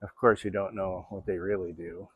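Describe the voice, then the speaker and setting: A man's voice: low-pitched, level tone, normal speed, slightly rough, phone-quality sound. A man in his sixties from Florida, chatting casually in a rambling vlog while riding a bike on an outdoor trail. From Florida, USA. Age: 60s. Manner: rambling, chatty, with many fillers. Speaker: vlogger.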